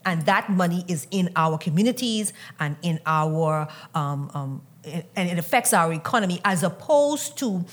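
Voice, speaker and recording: A woman leads a panel discussion. Her voice sits on the low side, and she makes her points deliberately, with firm emphasis. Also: lively intonation.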